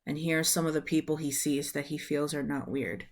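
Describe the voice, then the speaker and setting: A woman in her thirties reading a children's book aloud as a storyteller, deliberate, with drawn-out phrases and small asides to the listener. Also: deep voice, fairly even intonation. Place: small room at home, indoors.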